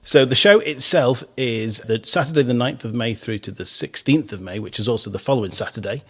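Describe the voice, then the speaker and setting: Quick-talking husky male host. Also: medium pitch. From Isle of Man. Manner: quick, chatty, encouraging, laughs often. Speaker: podcast host. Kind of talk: casual radio interview.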